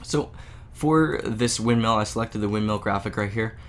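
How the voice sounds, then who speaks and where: A man with a sick, slightly off voice, casually walking through a software tutorial with lots of filler words. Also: fairly low pitch, moderate pace. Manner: casual, many "uh" and "there" fillers. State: sick, voice a bit off. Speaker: game engine tutorial maker. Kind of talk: screen-recorded software tutorial.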